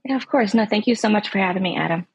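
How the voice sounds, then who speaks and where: A woman in her thirties from Southern California, a podcast guest who speaks in a warm, reflective way with many ums. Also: mid-range voice, quick pace, slightly rough, dry recording. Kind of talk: conversational interview.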